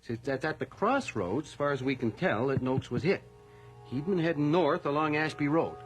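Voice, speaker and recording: A man's voice: on the high side, expressive, normal speed, faint music behind, lightly acted. A man acting in a radio drama as an earnest, deferential police constable with an official manner. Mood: earnest, explaining.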